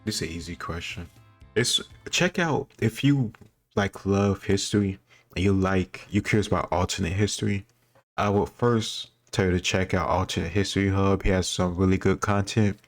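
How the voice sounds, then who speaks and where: A man's voice: deep voice, moderate pace, faint music behind. A man giving casual commentary on a history quiz, thinking aloud in an informal, rambling way. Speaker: host reacting to a history quiz video.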